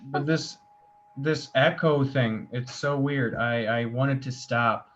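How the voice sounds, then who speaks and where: A man's voice: mid-range voice, fairly even intonation, slow, fairly bright tone. A man hosting a casual interview over a video call, informal and easygoing in his questions. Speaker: host. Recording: dull video call audio with faint noise.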